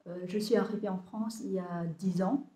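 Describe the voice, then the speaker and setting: A woman in her thirties from Vietnam, speaking French with a Vietnamese accent, describing her compost business to camera and often pausing on fillers and restarts. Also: mid-range voice, fairly even intonation, dark tone, echoey room. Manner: hesitant, many fillers and restarts. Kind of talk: casual talk to camera, halting.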